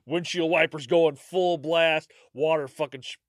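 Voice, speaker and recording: A man's voice: on the high side, fairly even intonation, unhurried, no echo, studio quality. An American man co-hosting a podcast, a little congested, chatting casually and laughing through stories with plenty of swearing. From United States. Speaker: podcast co-host. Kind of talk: casual chat.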